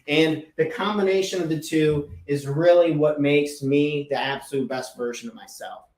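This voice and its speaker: A man's voice: a little high, fairly even intonation, normal speed. Earnest man in an echoey room. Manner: earnest, emphatic, repeats key words. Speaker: podcast host and life coach. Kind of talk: casual motivational monologue.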